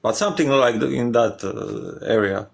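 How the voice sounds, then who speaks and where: A man from Croatia calling into a debate, speaking halting, imperfect English with a Croatian accent. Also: mid-range voice, vocal fry. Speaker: debate caller.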